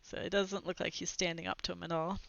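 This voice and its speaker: A young woman reading a storybook aloud into a headset mic, expressive, with brief explanatory asides. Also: fairly low pitch, quick pace, vocal fry. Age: young adult. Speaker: read-aloud presenter. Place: small room at home, indoors. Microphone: headset boom mic. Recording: slightly dull, lo-fi headset sound.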